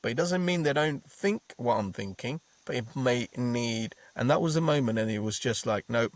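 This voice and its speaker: Casting: forties male guest, earnest, mid pitch, dry close sound. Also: expressive, normal speed, no room sound. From Bangladesh. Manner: earnest, repeats words when starting a thought. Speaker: trade unionist guest. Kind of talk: reflective interview answers. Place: podcast studio.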